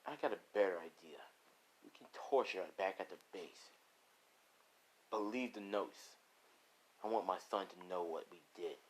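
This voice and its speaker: A man voicing a vengeful villain husband and gang boss in slow, quiet, sinister taunts, in an amateur story. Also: sits low, pitched below the performer's own voice, natural voice, rough recording. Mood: cold, gloating.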